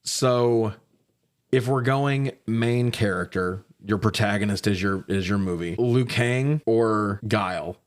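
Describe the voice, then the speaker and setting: Guy with a lowish voice doing casual movie podcast banter, informal, bit sweary. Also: takes it slowly. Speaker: podcast co-host reviewing films. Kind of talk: casual film-review banter.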